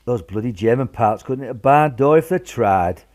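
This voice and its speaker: Lively, blunt old wartime grandfather played by a man. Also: medium pitch, normal speed, natural voice. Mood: defiant, jovial. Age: older. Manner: blunt, folksy, reassuring. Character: gruff, reassuring grandfather, wartime veteran, family patriarch. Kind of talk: amateur radio drama acting.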